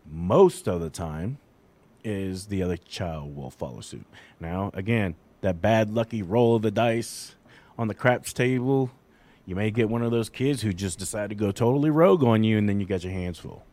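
A man in his forties with a fairly low voice chats loosely and candidly. He rambles through his thoughts, restarts sentences and pokes fun at himself. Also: animated, normal speed, a little mellow. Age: 40s. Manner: rambling, candid, self-deprecating. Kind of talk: casual chat.

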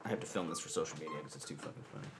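A man's voice: very deep voice, rapid-fire, heavy background noise. A man hosting a casual interview with a band, playful and teasing, often laughing. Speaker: podcast host.

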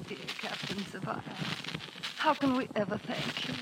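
A woman's voice: a little high, moderate pace, lightly acted. An actress playing a fragile, melodramatic society woman in 1940s radio drama style. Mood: gushing. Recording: very noisy old 1940s radio recording.

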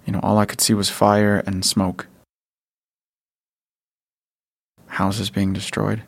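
A protective, grieving father in a post-apocalyptic audio drama, acted by a man. His voice is lowish and creaky, with very little rise and fall. He talks in an understated, hesitant way. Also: monotone, normal speed. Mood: subdued.

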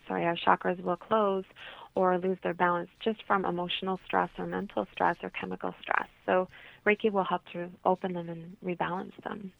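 A woman's voice: on the low side, gravelly, no room sound. A woman giving explanatory interview answers as a holistic healer, heard over a narrow phone-like line.

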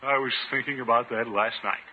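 An actor playing a pompous but easily flustered, sentimental middle-aged American bachelor, blustering and chuckling in a 1950s radio comedy. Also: male voice, mid-range voice, steady tone, fairly quick, breathy edge, lightly acted. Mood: bashful, wistful. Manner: blustering, chuckling, swooping exclamations. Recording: tinny, noisy old radio recording.

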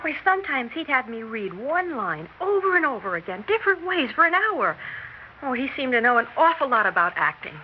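A woman's voice: sits high, big pitch swings, fairly quick. A woman playing a naive, eager, stage-struck young actress in an earnest, impressionable way, in a 1960s radio drama. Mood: earnest recounting. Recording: noisy, dull 1960s radio recording.

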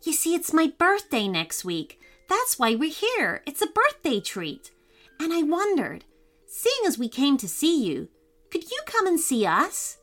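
A woman voicing an excited young boy in a children's story, with an eager, boyish, pleading manner. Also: high-pitched, a little slow, soft background music, voice pushed up, heavily acted. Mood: hopeful, earnest. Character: two excited, eager young brothers on vacation.

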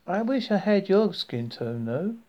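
A man voicing a polite, measured middle-aged woman shopping. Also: high-pitched, big pitch swings, highs cut off, voice pushed up.

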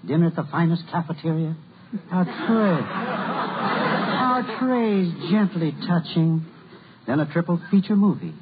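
Smug swooping clerk, tinny old radio. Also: male voice, fairly high pitch, lively intonation. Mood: oily flirting. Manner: sarcastic, drawn-out, theatrically suave. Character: smug, flirtatious male department store exchange clerk. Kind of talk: 1950s radio comedy acting. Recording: very noisy, phone-like vintage radio recording.

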